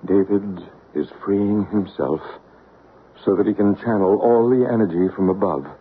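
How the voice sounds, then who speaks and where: An actor playing a calm, reassuring male psychic investigator in a radio drama, earnest and deliberate. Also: fairly low pitch, lightly acted. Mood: explaining. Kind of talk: 1970s radio drama acting. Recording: tinny, hissy 1970s radio recording.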